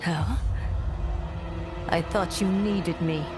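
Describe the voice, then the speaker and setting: An actress playing a defiant, compassionate champion of her people in a fantasy game, speaking in a deliberate, dramatic way. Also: on the low side, fairly even intonation. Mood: taken aback. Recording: music behind the voice.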